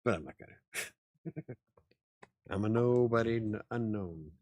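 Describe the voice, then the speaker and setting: Low-voiced man chatting casually. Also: slow pace, darkish tone, no echo. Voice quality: slightly raspy. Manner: rambling, laughs often, swears casually. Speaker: host. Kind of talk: casual chat.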